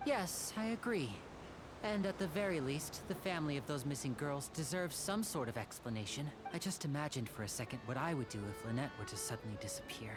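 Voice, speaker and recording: A woman's voice: sits low, lively intonation, a little fast. A woman voicing a polite, composed and earnest young male stage magician in a scripted video game. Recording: music behind the dialogue. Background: game music.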